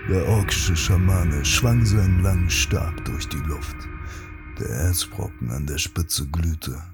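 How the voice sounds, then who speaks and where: Deep, slow male narrator. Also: creaky. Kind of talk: scripted fantasy audio drama narration. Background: loud music.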